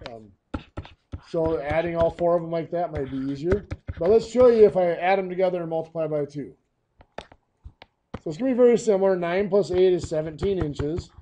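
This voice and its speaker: A hoarse, coughing man teaching a math lesson, walking through each step patiently. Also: a little high, warm tone, a little hiss or hum.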